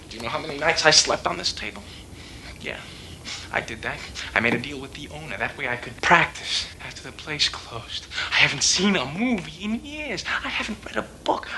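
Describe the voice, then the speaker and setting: High-voiced, volatile young pool hustler. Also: male voice, big pitch swings, moderate pace, creaky, pushed and bright, slight background noise, heavily acted, low-quality recording. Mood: defensive, intense. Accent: American. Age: young adult. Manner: intense, brash, defensive. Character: cocky, hot-headed young pool hustler, hungry to prove himself. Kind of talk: television drama acting. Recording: television soundtrack with music behind.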